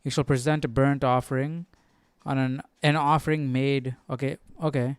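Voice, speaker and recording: A man with a medium-pitched, clear and smooth voice talks casually as a livestream host. He muses aloud and circles back over his own words, recorded dry with no room sound. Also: flat delivery, moderate pace, no echo. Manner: informal, musing, repeats himself. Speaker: host of a Bible study livestream. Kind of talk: casual chat, thinking aloud.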